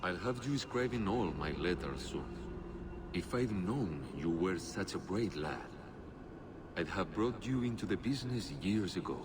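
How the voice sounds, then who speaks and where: An actor playing a manipulative demon posing as a loving father, speaking in a slow, soothing way. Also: low-pitched, lively intonation, noisy background. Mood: coaxing.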